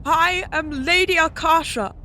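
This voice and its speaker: A woman's voice: extremely high pitch, a little slow, sharp tone, a little hiss or hum, full character voice. A woman playing a regal, stern vampire noble in an audio drama, speaking in imperious, formal diction. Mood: solemn, proud.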